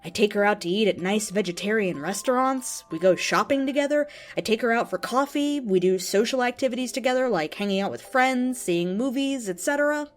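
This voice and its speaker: A woman's voice: a little high, animated, a little fast, hardly any pauses, lightly acted. A woman voicing an earnest, lovestruck and easily offended devotee in a dramatic, emphatic reading of an open letter. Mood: tender, devoted. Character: earnest, lovestruck, indignant devotee of a cartoon pony.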